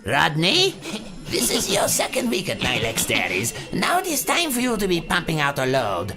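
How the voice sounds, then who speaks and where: Woman doing a bossy German-accented dairy boss, low voice, wildly swinging pitch, gravelly edge, music behind. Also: huge pitch swings, moderate pace, heavily acted. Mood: scolding. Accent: German (put on). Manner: scolding, bossy, mock-formal phrasing.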